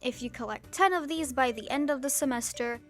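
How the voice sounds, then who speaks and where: High-voiced teen girl, wry vlog rant. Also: fairly quick, fairly bright tone, faint music behind. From Turkey. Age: teens. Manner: wry, sarcastic, with sudden comic outbursts. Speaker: YouTuber. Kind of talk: scripted vlog monologue.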